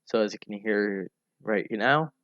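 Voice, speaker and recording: A man giving casual, unscripted devlog commentary in a rambling, halting way, often repeating himself. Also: fairly low pitch. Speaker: hobby game developer narrating a devlog. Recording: dull lo-fi mic under music. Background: music.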